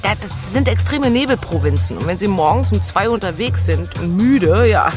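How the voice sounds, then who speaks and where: An actress playing a blunt, worldly German expatriate manager, talking casually and dropping in bits of English, with music underneath. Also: female voice, mid-range voice, animated, normal speed, close to an everyday voice. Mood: matter-of-fact, hardened. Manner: blunt, colloquial, drops in English phrases. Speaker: turnaround manager. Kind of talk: documentary-style monologue, casual talk.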